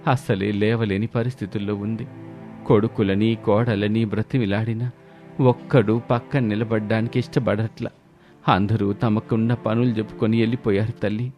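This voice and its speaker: A man's voice: fairly low pitch, fairly even intonation, normal speed, a little mellow, close to an everyday voice. A man voicing an emotional, humble elderly father-in-law in a dramatized family moral story. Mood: worried. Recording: music bed under the voice.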